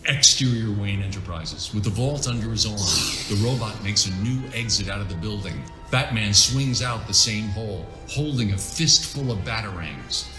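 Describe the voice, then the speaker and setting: A man's voice: lowish voice, animated, moderate pace, hardly any pauses, reverberant, over music. A man reading scripted scene descriptions as the narrator of a comic book adaptation.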